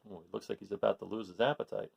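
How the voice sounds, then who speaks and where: A man reading a picture book aloud to children in an animated way, asking his listeners questions. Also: on the low side, racing, gravelly, slightly rough. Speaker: read-aloud storyteller.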